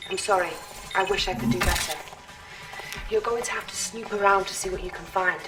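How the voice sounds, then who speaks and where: A woman's voice: medium pitch, steady tone, fairly quick, recorded from a distance, over loud music, close to an everyday voice, low-quality recording. A woman acting as an earnest military intelligence officer, giving urgent briefings in a video game.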